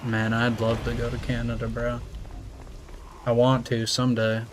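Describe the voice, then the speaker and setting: A young American man, just out of high school, chatting casually and rambling with viewers while he plays. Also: monotone, normal speed, noisy background. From United States. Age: young adult. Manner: laid-back, rambling, slangy. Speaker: streamer. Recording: music playing behind.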